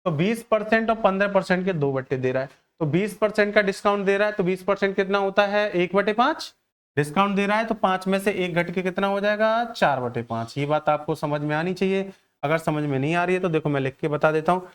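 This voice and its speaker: A man teaches an exam-coaching maths class in a fairly high, clear voice. He works through each step aloud, repeats key phrases and puts questions to his students, addressing them affectionately. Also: brisk. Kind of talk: exam-coaching lesson, solving problems aloud.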